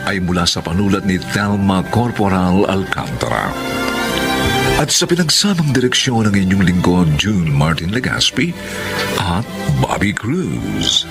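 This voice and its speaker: Filipino guy narrating radio drama, theatrical n solemn, super swoopy pitch, loud music behind. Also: very animated, unhurried, creaky. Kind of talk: dramatic scripted narration and credits.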